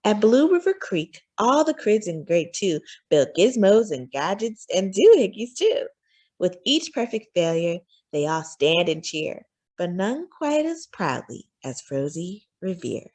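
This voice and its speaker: A woman narrates a children's picture book with great variety in her pitch. She goes slowly and deliberately, dramatizing each phrase as a storyteller does for young listeners. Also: very animated, dark tone. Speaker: storyteller reading aloud to children. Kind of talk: expressive children's read-aloud narration.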